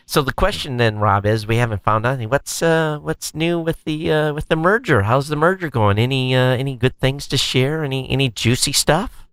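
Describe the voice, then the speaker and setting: A man's voice: mid-range voice, fairly quick, clear, resonant tone, no room sound. A man co-hosting a podcast in casual, rambling chat, firing off eager questions. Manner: chatty, rambling, animated questions. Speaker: podcast co-host.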